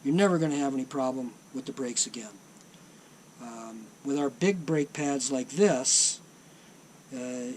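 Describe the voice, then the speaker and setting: Slow, plainspoken man demonstrating repairs. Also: mid-range voice, slight background noise. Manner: slow, plainspoken, lots of ums and uhs. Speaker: tape deck repair technician. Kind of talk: workshop demonstration.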